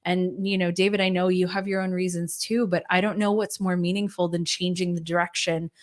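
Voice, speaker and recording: A woman's voice: lowish voice, level tone, brisk. A woman who co-hosts and produces a casual chat show, speaking earnestly and laughing easily. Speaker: co-host and producer.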